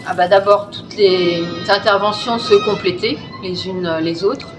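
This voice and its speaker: A woman's voice: medium pitch, fairly even intonation, a little slow, music in the background, low-quality recording. A woman from a cultural foundation, chatting loosely in an interview and filling gaps with little words. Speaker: foundation representative.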